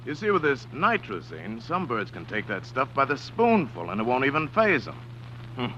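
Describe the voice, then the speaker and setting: A man playing a calm, matter-of-fact police detective in a 1940s radio drama. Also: medium pitch, moderate pace, slight background noise. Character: calm male police detective explaining the case. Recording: dull, distant old radio recording.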